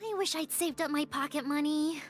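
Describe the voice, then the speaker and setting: A woman's voice: fairly quick, natural voice. A woman voicing a tiny, eager, childlike fairy companion in a video game, bubbly and cute. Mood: cute, wheedling. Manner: squeaky, bubbly, refers to self by name. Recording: game audio with soft background music.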